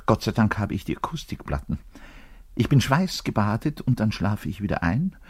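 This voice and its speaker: An actor playing a lovelorn, self-important, bitter double bass player in a theatrical radio play monologue, confiding in the listener and swinging between hushed and declaimed delivery. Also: male voice, big pitch swings, normal speed, husky. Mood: agitated, scornful longing.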